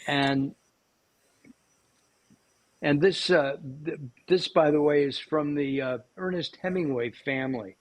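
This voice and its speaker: A man's voice: fairly high pitch, slow, long pauses, a little hiss or hum. A man hosting a cooking show, chatting casually with lots of fillers and restarts. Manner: rambling, many fillers and restarts.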